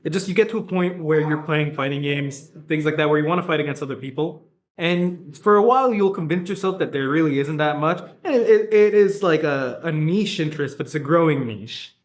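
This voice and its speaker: A man's voice: on the high side, brisk. A man reflecting earnestly in a documentary interview about organizing events.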